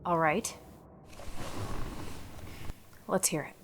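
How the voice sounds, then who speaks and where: A woman's voice: on the low side, a little fast, noisy background, lightly acted. A woman playing an earnest, wry superheroine in a radio play, with a determined manner. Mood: defiant. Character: earnest, wry young superheroine, bulletproof and dutiful.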